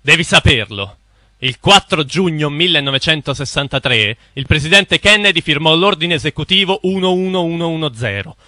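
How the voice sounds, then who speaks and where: An actor playing a grave, knowing cartoon mentor who explains in a solemn, didactic way. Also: male voice, on the high side, bright, forceful tone, no room sound. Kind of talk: animated film exposition. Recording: music under the voice.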